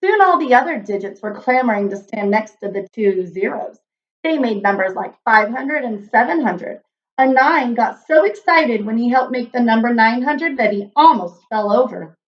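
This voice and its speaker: A woman narrating a children's storybook in an expressive read-aloud style. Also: fairly high pitch, normal speed, dull audio.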